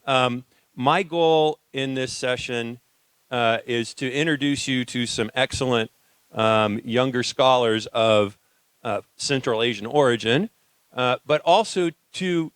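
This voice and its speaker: A man's voice: medium pitch, slow pace, a bit bright, smooth, no echo. A man moderating a conference panel, talking loosely and conversationally with frequent fillers and restarts. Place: conference hall.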